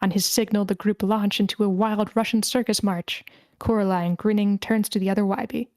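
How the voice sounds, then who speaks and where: A woman narrates stage directions from a script at a table read. Her voice sits at a medium pitch, and the recording is dry with no room sound. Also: steady tone, brisk, a little mellow, dry recording.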